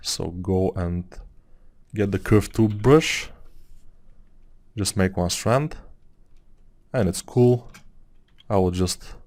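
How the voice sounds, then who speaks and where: A man from Bulgaria, around his thirties, with a Bulgarian accent and a slightly low voice. He talks a 3D sculpting tutorial through in a loose, musing way, drifting off topic and filling pauses as he works. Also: darkish tone. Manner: rambling, musing, with many fillers. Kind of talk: casual tutorial talk over screen work.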